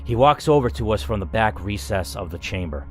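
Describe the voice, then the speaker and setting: A man's voice: lowish voice, animated, brisk. Man dramatically narrating a story over music. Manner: dramatic storytelling with casual asides. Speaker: video game let's player and narrator. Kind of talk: reading an interactive story aloud with commentary. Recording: music under the voice.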